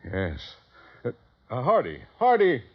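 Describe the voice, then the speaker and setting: An actor playing a big, dying old patriarch, gruff yet tender, halting and repeating words, in a 1950s radio drama. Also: male voice, medium pitch, wildly swooping pitch, exaggerated voice. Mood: flustered, hearty. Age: older. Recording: dull vintage radio recording.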